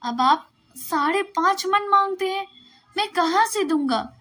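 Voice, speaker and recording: A woman's voice: extremely high pitch, expressive, measured pace, sharp tone, pitched above the performer's own voice, heavily acted. A woman voicing a poor, wronged male peasant labourer, humble and distressed, pleading in a storybook reading. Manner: pleading, aggrieved protest.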